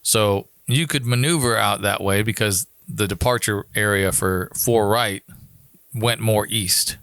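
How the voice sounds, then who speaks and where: A man's voice: on the low side, measured pace, a bit bright, clear, resonant tone, no room sound, clean studio sound. An American man talking casually and informally, explaining how his work as an air traffic controller goes, like a guest on a podcast.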